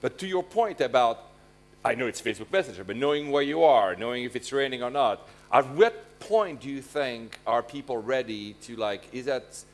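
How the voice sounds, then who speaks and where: A man's voice: mid-range voice, animated, normal speed. A man whose first language is French, moderating a conference fireside chat with loose, rambling questions.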